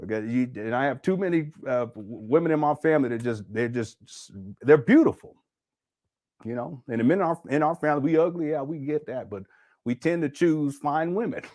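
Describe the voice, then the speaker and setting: A man with a slightly high voice hosts a casual podcast. He jokes, laughs often, and talks in loose slang. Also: fairly quick, a little mellow.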